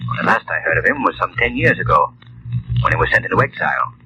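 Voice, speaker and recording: An actor playing a cool, unflappable invisible crime-fighter in an old radio drama, speaking in a dry, controlled way. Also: male voice, low voice, sing-song, fast talker. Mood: probing, skeptical. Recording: muffled, noisy old radio recording.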